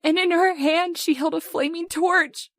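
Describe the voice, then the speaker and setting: A woman's voice: very high-pitched, fairly even intonation, measured pace, fairly bright tone, slight background noise. A woman acting a frightened, haunted, obsessive wife in an old-time radio horror play, fearful and insistent.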